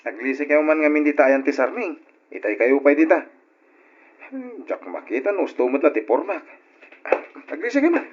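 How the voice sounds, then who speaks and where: A man playing a vain, impatient young brother in an Ilocano radio drama, with animated, complaining banter. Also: on the high side, moderate pace, slight background noise, close to an everyday voice. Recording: dull lo-fi radio recording.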